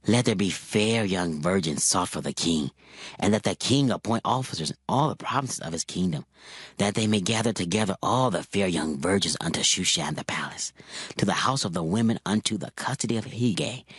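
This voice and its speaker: A man playing an earnest servant of the king who speaks formally and deliberately. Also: deep voice, lively intonation, slightly rough, dry recording, clean studio sound. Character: king's servant proposing a plan, earnest.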